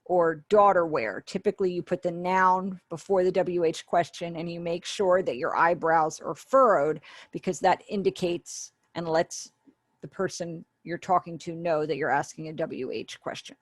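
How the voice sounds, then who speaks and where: A woman's voice: lowish voice, fairly even intonation, moderate pace, no room sound. A woman giving an online sign language lesson over a webinar call, patiently explaining and acting out example phrases. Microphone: webinar video call.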